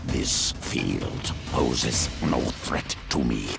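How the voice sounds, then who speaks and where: Brief: stoic primal monster chieftain, very gravelly growl, blunt game-villain phrasing, loud score underneath. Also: male voice, extremely low pitch, exaggerated voice. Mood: cold resolve. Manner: slow, blunt, clipped declarations. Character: primal alien pack leader beast, hungry and stoic. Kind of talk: video game character acting. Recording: loud music behind the voice.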